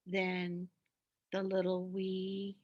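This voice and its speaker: A woman reading a children's story aloud with expressive, deliberate, dramatic narration. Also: on the low side, muffled recording.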